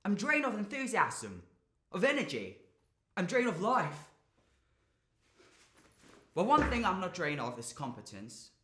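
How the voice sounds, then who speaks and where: Casting: actor as stressed young man, bright higher-pitched voice, bitter and confessional, stage monologue in an echoey room. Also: wildly swooping pitch, a little slow, long pauses, full character voice. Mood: frustrated, drained. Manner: confessional, sweary, emotionally volatile. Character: stressed, drained young man confiding bitterly, burdened by family. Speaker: drama student actor.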